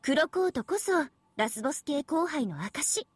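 A smug young anime game villainess, high and very lively in pitch. She teases in a cutesy, theatrical schoolgirl way, recorded close and dry. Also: unhurried, no echo. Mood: smug, teasing. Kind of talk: Japanese mobile game character voice lines.

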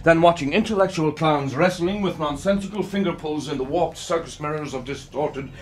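Man as pompous, high-pitched old scientist wizard. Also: brisk, a little hiss or hum, lightly acted. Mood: gleeful, boastful. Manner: pompous, precise, pedantic. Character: ageless old male mad scientist wizard, smug, cryptic. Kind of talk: comic audio drama. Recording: music bed behind voice.

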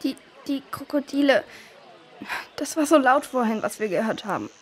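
A woman playing a timid, anxious young girl who speaks haltingly and tearfully in a children's audio drama. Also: sits high, expressive, slight background noise. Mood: tearful, afraid. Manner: hesitant, halting, stammering.

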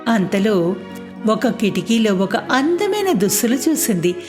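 A woman's voice: medium pitch, big pitch swings, a little slow, over music. A woman storyteller reading scripted narration for a children's moral tale.

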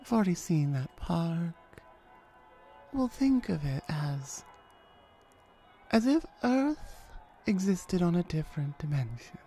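Woman doing a laid-back flirty hellhound lady for asmr roleplay, gravelly and mellow, kinda wry, pauses a lot. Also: fairly low pitch, very animated, soft background music, heavily acted. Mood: patient, explaining. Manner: conversational, hesitant pauses, wry. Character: flirty, laid-back female hellhound showing a newcomer around hell. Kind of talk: ASMR audio roleplay, addressing the listener.

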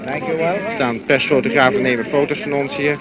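A man's voice: mid-range voice, fairly even intonation, moderate pace. A male radio reporter improvising live 1940s outdoor commentary, repeating phrases, on a dull old recording. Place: outdoors in a crowd. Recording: dull 1940s recording, crowd noise behind. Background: crowd noise.

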